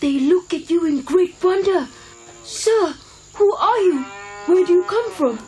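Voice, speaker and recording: Woman voicing a very high-pitched polite cowherd boy. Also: expressive, unhurried, warm tone, slight background noise, rough recording. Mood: puzzled. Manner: respectful, earnest questioning. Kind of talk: animated film dialogue.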